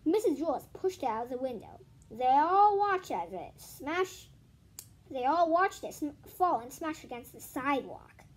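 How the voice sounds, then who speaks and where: A female host reading a children's book aloud in an expressive, informal way, correcting herself and adding casual asides. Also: high-pitched, moderate pace, poor audio. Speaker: video host.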